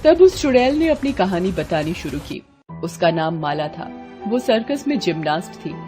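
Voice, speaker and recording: A woman's voice: lowish voice, sing-song, normal speed, mellow, soft background music. A woman narrating a children's horror tale in a dramatic, scripted storytelling style.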